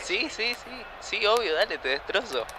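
A man's voice: sits high, lively intonation, normal speed, a crowd in the background. A male actor playing a teasing teenage son in an audio drama. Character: teasing teenage son gaming with his dad. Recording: noisy background ambience.

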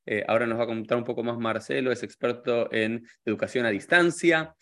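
A man's voice: mid-range voice, brisk, a bit bright. A man hosting an interview podcast, friendly, talkative and candid. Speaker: podcast host.

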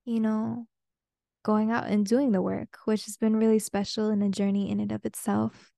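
A young woman from Peru, whose first language is Spanish, hosts her own podcast in a reflective, open-hearted way. Her voice is warm and relaxed, and it sits in a middle range. The sound is dry and close, with no room around it. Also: mid-range voice, normal speed, vocal fry, no echo. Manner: earnest, open, casual with slang. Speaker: podcast host. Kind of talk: reflective solo podcast talk.

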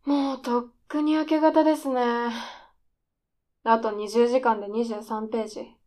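A woman's voice: fairly high pitch, little pitch movement, normal speed, dark tone, close to an everyday voice. A woman playing a desperate, defiant aspiring scriptwriter who needles in polite speech, in a radio drama. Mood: desperate, resolute. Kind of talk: radio drama dialogue acting.

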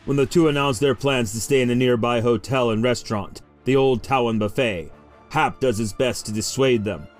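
A man's voice: mid-range voice, moderate pace, soft background music. A man reading scripted promotional narration as a trailer announcer.

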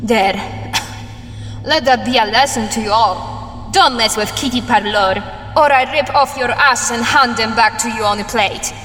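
A woman as a sassy, high-pitched fierce superheroine. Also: moderate pace, a bit bright, faint music behind, natural voice. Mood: fierce, scornful. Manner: bossy, sarcastic, brash. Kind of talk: superhero parody audio drama. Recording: echoey hall reverb.

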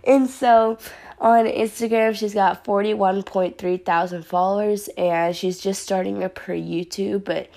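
A young woman hosting her podcast in casual chat, her voice a little raspy from a sore throat, upbeat and chatty with lots of fillers. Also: mid-range voice, steady tone, measured pace, dark tone. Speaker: podcast host. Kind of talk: casual solo podcast talk.

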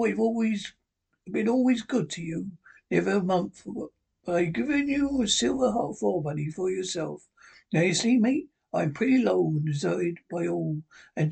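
A man voicing a dying, rum-craving old sea captain in a feeble, husky voice, pleading and cursing. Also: high voice, expressive, a little mellow, exaggerated voice, low-quality recording. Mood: wheedling. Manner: pleading, wheedling, cursing, slow and drawn out. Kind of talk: audiobook character voice.

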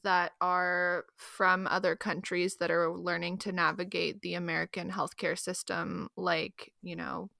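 A woman interviewing a guest, asking measured, deliberate questions. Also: on the low side, level tone, creaky, bright, forceful tone, no echo, clean studio sound.

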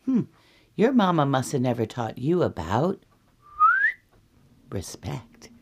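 Storybook mama bear, played by a woman: scolding, deliberate, prim about manners, low-pitched. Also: sing-song, noisy background, heavily acted. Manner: deliberate, reproving.

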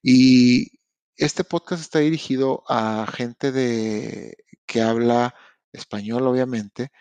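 A man with a Mexican accent talks straight to his podcast listeners, frank and slangy, and often restarts his sentences. His voice sits at an ordinary pitch. It is recorded dry and close, with no room echo. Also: fairly even intonation, slow pace, a little mellow, clean tone. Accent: Mexican Spanish. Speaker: podcast host. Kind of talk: casual motivational podcast monologue. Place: lives in Canada.

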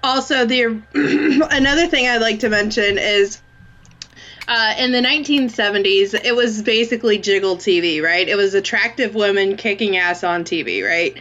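A woman's voice: medium pitch, moderate pace, a bit bright, muffled recording. A woman co-hosting a movie podcast, stuffed up from a sinus infection, chatting casually with lots of fillers and laughs. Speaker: podcast co-host. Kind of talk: casual chat.

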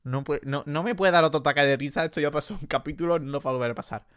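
A man's voice: fairly high pitch, fairly bright tone, clear, resonant tone, dry recording. Young andalusian guy podcasting from his room, chatty, laughs at himself, dry close sound. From Andalusia, Spain. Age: young adult. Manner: chatty, self-mocking, quick to laugh. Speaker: podcast host. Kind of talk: casual solo podcast chat. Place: his sister's bedroom.

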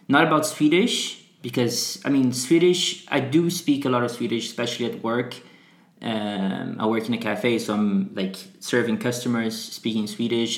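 A man's voice: lowish voice, roomy sound. A young man from Brazil whose first language is Portuguese, chatting casually as a podcast guest, with lots of fillers and restarts. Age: young adult. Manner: rambling, many fillers and restarts. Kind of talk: casual conversational interview.

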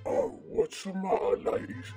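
A man's voice: a little slow, warm tone, soft background music, lightly acted. A man playing a foul-mouthed, indignant comic supervillain with a thick, slurred British accent in a superhero parody. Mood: menacing, indignant. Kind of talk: superhero parody audio drama.

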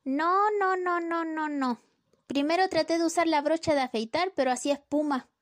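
High, flippant scolding wife for a radio drama, played by a woman. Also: normal speed, natural voice. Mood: chiding. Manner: flippant, nagging. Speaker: student radio drama actor. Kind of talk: amateur radio play acting.

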